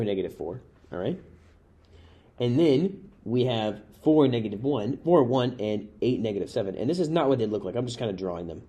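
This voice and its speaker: Casual math teacher, voice going hoarse. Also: male voice, medium pitch, warm tone. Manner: casual, chatty, addresses viewers as guys. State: losing his voice. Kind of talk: worked-example exam review.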